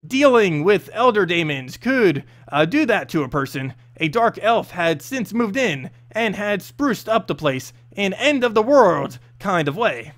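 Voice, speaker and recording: A man's voice: sits high, very animated, unhurried, few pauses, fairly bright tone. A man reading a scripted fantasy story as narrator, expressively, with an occasional stumble over the text.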